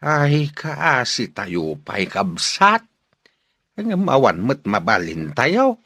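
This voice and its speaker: A man playing a wary, plaintive rural villager in a radio drama, with a husky, expressive, drawn-out delivery. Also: mid-range voice, dry recording, exaggerated voice.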